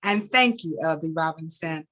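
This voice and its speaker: A woman reading fiction aloud as a host, expressively and deliberately, sometimes repeating words. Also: sits low, bright, forceful tone. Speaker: reader and event host.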